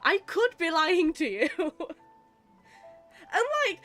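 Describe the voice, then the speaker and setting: A woman's voice: very high voice, sing-song, slow, a bit bright, soft background music. A woman with a British accent giving casual, chatty, wry commentary while playing a game. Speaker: psychologist streaming a game.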